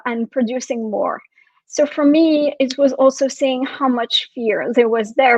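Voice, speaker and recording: A woman from France and Syria giving a reflective talk for an online expo, soft-spoken with frequent fillers. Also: fairly high pitch, no room sound, lo-fi sound. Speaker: expo speaker, spiritual coach.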